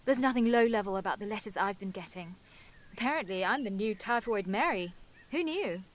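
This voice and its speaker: A woman's voice: medium pitch, animated, fairly quick, lightly acted. An actress playing a guarded, wounded and sardonic woman in a naturalistic radio soap. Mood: bitter, hurt. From Sussex, England.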